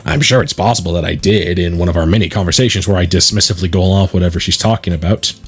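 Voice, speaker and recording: A man voicing a reluctant high school boy from a visual novel, dry and dismissive. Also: rapid-fire, husky, soft background music. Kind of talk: visual novel character read-aloud.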